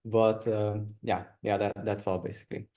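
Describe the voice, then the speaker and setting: A man's voice: fairly low pitch, little pitch movement, moderate pace, dark tone. A young man from Romania, answering interview questions on a video call, a bit hesitant and repeating words. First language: Hungarian. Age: young adult. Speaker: guest, video editor.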